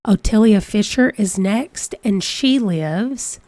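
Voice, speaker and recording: A woman's voice: medium pitch, expressive, takes it slowly, darkish tone, dry recording. A woman hosting a let's play, chatty and reading out dice rolls, her voice congested from a cold. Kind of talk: casual game commentary.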